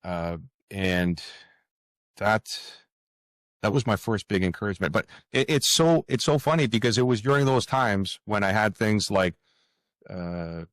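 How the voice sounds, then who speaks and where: A man's voice: on the low side, no echo. A man speaking reflectively as an interview guest, earnest and hesitant, often repeating words and phrases. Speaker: author, podcast guest.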